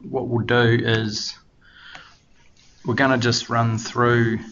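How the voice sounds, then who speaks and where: A man's voice: fairly low pitch, fairly bright tone. A man with a New Zealand accent hosting a webinar, opening casually with lots of hesitant fillers. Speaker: webinar host. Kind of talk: webinar introduction. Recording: dull lo-fi webinar audio with faint background noise.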